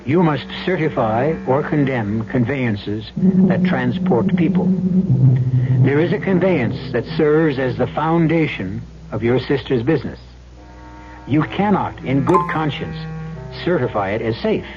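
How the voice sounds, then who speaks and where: A man's voice: medium pitch, expressive, measured pace, natural voice. A man performing a grave, probing narrator who addresses the listener in a radio drama introduction. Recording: dull old radio recording. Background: music.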